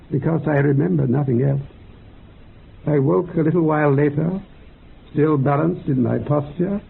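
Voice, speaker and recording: Radio drama: earnest male mystic, very creaky rasp, measured storytelling, narrow tinny band. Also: on the high side, heavily acted. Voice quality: raspy, creaky. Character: earnest man recounting mystical meditation visions. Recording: phone-like lo-fi radio sound.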